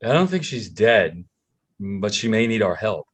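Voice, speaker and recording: A man's voice: fairly low pitch, big pitch swings, measured pace, slightly rough, full character voice. A man in a screenplay table read, playing a frank, matter-of-fact coworker who supports an investigator. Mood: sympathetic.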